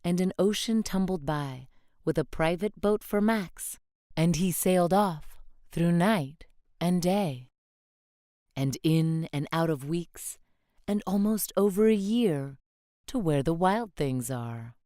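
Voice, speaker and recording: A woman reading a children's picture book aloud, gentle and expressive with a rhythmic lilt. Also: on the low side, takes it slowly, dry recording, studio quality. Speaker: storybook reader. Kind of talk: read-aloud children's storybook narration.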